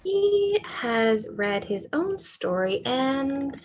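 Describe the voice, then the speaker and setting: A woman's voice: high voice, big pitch swings, drawn-out words, slight background noise, exaggerated voice, rough recording. A woman playing a sullen, wounded young writer, withdrawn and curt, in a play reading over a video call. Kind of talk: cold read of a classic stage play.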